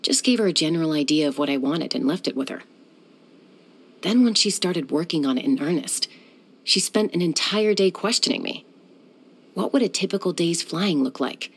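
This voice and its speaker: Husky, low cool sky-hunter woman. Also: animated, normal speed, heavily acted. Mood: composed. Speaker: game character. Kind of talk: video game voice lines.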